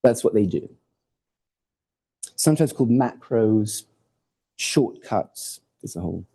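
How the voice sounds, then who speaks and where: A man's voice: on the low side, expressive, slow pace, gravelly, dark tone, slightly rough. A man from Hawaii, raised in Portugal and England, giving an informal, digressive academic lecture with jokes and the odd swear word. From born in Hawaii, raised in Portugal and England. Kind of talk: academic talk with slides. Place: lecture hall.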